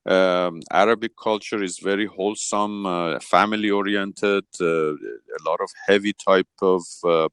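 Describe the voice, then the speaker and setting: Low-voiced man from Iran, halting chat. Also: steady tone, fairly bright tone, clean tone, dry recording. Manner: hesitant, many fillers, drawn-out phrasing. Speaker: chat participant. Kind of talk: casual group chat.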